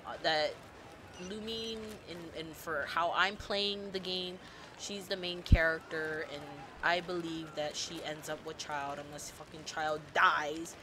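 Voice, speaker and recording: A woman streamer rambling casually and excitedly, full of fillers and the odd swear word. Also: low-pitched, a little slow, noisy background. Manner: gushing, animated, swearing casually, lots of fillers. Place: home streaming setup.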